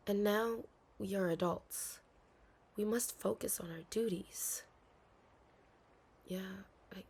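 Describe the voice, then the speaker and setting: An anxious young princess , acted by a woman, with a breathy, husky voice and slow, formal storybook diction. She is dutiful but hesitant, hiding her longing in an intimate audio roleplay. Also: mid-range voice. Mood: resigned, guarded.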